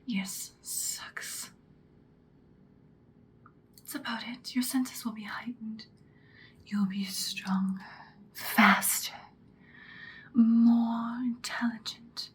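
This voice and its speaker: A woman playing an ancient, powerful female vampire in an ASMR roleplay, whispering breathily in an intimate, calmly menacing, seductive way. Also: on the high side. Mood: calm, instructive. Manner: slow, deliberate, intimate. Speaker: ASMR performer.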